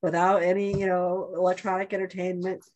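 A woman's voice: fairly low pitch, flat delivery, brisk, a little mellow. A woman guest on a podcast, earnestly giving practical advice to mothers. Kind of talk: casual conversation.

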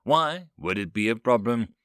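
Guy doing a calm thirty-something human diplomat in a space story, measured but lots of up and down, dry sound. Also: medium pitch, no echo. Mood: calm, stern. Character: calm, collected human male chancellor of thirty-two.

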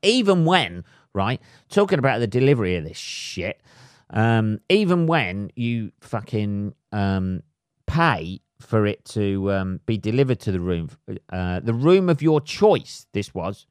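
A man, a little hoarse, ranting comically and swearing constantly in a rambling solo podcast monologue. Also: on the low side, very animated, measured pace, dry recording, studio quality. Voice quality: heavy swearing, rambling with many fillers. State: slightly hoarse. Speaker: podcast host. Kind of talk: solo comedic rant.

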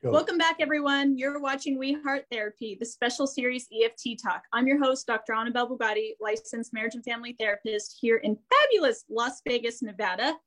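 A woman's voice: fairly high pitch, fairly quick, hardly any pauses, fairly bright tone, poor audio. An American woman hosting an interview show, chatty and upbeat, laughing easily as she introduces her guests. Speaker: host and therapist.

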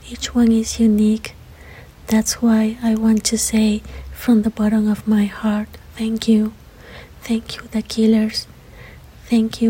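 A woman from Costa Rica with a Spanish accent and a breathy, whispery voice, giving a prepared thank-you message as a fan. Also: a little high, warm tone, rough recording. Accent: Costa Rican Spanish.

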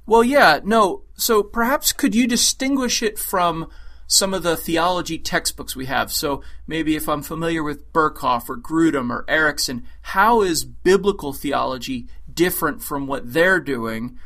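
Bright-voiced male podcast host. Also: a little high, a little slow. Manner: clear, organized questioning. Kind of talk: scripted intro and interview questions.

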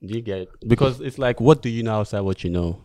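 A man's voice: on the low side, fast talker, warm tone, no room sound. A man on a podcast panel, pushing back with pointed questions. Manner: challenging, questioning. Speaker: podcast co-host. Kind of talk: podcast debate.

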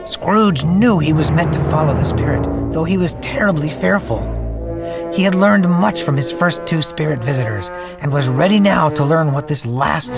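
A man's voice: fairly high pitch, vocal fry. A man voicing an earnest storyteller creature, proud of his art, narrating a radio play dramatically over music. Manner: theatrical, dramatic, patient narrator. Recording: music under the voice.

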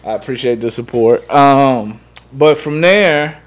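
A man's voice: medium pitch, a little slow. Laid-back man hosting a podcast. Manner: laid-back, blunt, swears casually. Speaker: podcast host, runs gaming tournaments. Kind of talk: casual chat.

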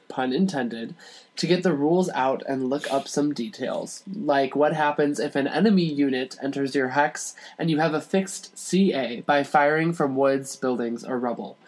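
A man's voice: fairly high pitch, normal speed, darkish tone. A man speaking as a podcast guest, chatting casually and reading a listener's letter aloud.

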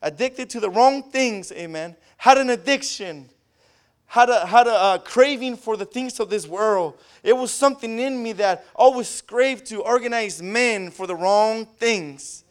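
Man, pastor, Spanish accent, high voice, smooth and resonant, amen-heavy preaching, fervent swells. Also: a little slow. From Southern California. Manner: peppers every phrase with amen, rising to loud fervent bursts. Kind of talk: sermon.

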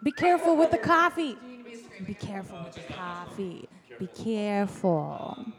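A woman's voice: mid-range voice, sing-song, unhurried, music in the background. A woman hosting an improv podcast casually, directing and organizing the people around her. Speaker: host of an improv radio-play podcast.

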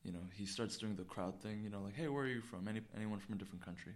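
Male podcast co-host, slightly deep voice, excitable and slangy, casual anecdote style with lots of repetition. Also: rapid-fire. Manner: excitable, slangy, repeats himself. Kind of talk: casual chat, animated storytelling.

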